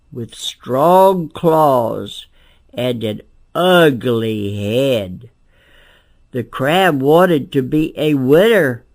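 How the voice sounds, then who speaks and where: Very slow, creaky-voiced woman in her nineties. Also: sits low. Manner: deliberate, phrase-by-phrase reading with pauses. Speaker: amateur story reader. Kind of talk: read-aloud of a rhyming children's picture book.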